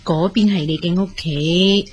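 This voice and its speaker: Low-voiced, fretful old Cantonese mother. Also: steady tone, a little slow, slightly rough. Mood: gentle, coaxing. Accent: Hong Kong Cantonese. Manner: motherly, exclaiming, sighing. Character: ailing, fretful but loving elderly mother. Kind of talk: radio drama acting.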